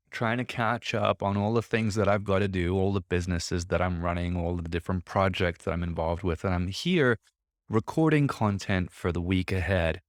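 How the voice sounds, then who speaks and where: Man in his thirties, lowish voice, slightly stuffy throat, reflective podcast host, dry close sound. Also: no room sound. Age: 30s. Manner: conversational, reflective, self-coaching tone. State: slightly congested, throat a bit off. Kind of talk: solo podcast monologue. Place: office.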